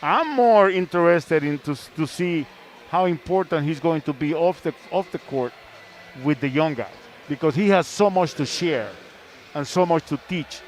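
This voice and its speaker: A man with a high-pitched voice hosts a casual sports panel. His phrasing is deliberate and halting, and a noisy arena surrounds him. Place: large indoor arena. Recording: noisy arena ambience behind.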